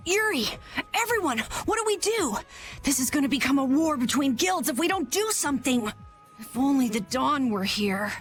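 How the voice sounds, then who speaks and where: A woman voicing an anxious, excitable young boy adventurer in a video game, with urgent delivery. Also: high-pitched, normal speed. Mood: panicked. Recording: music under the voice.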